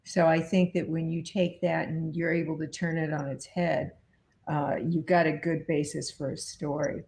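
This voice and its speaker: A woman giving reflective interview answers as a guest, measured and thoughtful, speaking in concise general statements. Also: sits low, level tone, gravelly, a little mellow. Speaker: guest, producer.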